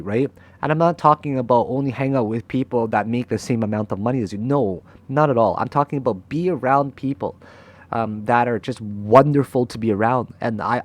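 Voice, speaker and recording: Young male host, mid-pitch, casual monologue to camera; blunt, swears, checks in with "right?". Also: a little mellow. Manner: frank and emotional, swears freely, keeps asking "right?". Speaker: crypto trader and channel host. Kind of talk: candid motivational talk to camera.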